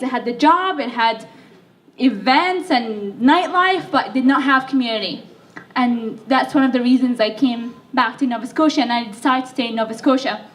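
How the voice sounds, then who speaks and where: Young woman from Libya, a bit under the weather, chatty talk with fillers, high voice, distant mic. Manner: chatty, self-deprecating, with fillers. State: sick. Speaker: speaker. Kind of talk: speech to an audience. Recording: voice from far off the mic.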